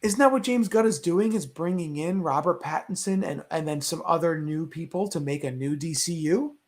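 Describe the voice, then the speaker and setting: Male panelist, higher voice, casual discussion, animated storyteller who acts out reactions. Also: normal speed. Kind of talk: casual movie discussion chat.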